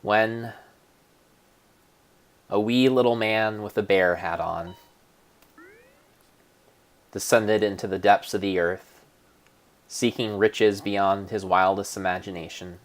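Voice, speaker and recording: Low-voiced man narrating dramatically. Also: fairly even intonation. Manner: theatrical, deliberate, mock-solemn. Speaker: narrator of a game playthrough. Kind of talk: dramatic storybook-style narration.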